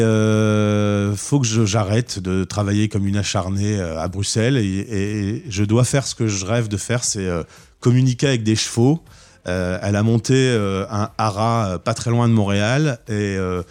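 A man's voice: lowish voice, a little mellow. A man hosting a radio show, chatting casually in an interview with enthusiasm and frequent stammered restarts. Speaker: radio host. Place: radio studio.

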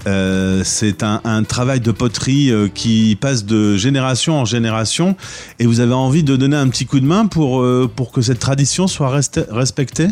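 A man hosting a radio interview, asking questions in a relaxed way with some hesitations. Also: medium pitch, normal speed. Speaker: radio host.

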